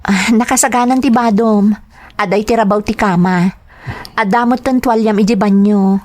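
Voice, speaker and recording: An actress with a Filipino Ilocano accent playing a dutiful, soft-spoken young wife in an audio drama. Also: female voice, mid-range voice, fairly even intonation, moderate pace, lightly acted. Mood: caring. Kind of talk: radio-style drama acting.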